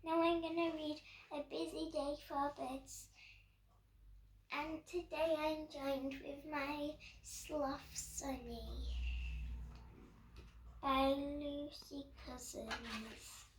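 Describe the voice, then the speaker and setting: A woman's voice: high-pitched, fairly even intonation, extremely slow, pauses often, slight background noise. A young girl with a British accent reading a picture book aloud in a playful storytime style. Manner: playful, acts out bird calls and actions. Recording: roomy echo, distant microphone.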